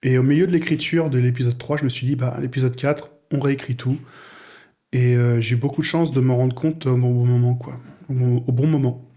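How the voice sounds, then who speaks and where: Casting: male audio fiction creator as guest, soft mellow timbre, mid pitch, casual, searching delivery. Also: fairly even intonation. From France. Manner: halting, repeats words while searching for phrasing. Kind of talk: casual interview talk.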